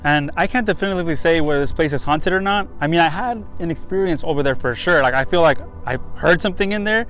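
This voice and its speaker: A man's voice: fairly high pitch, quick pace, hardly any pauses, fairly bright tone, faint music behind. A young man hosting a paranormal investigation vlog, talking casually outdoors. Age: young adult. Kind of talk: casual on-location vlog talk. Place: outdoors beside a lighthouse building.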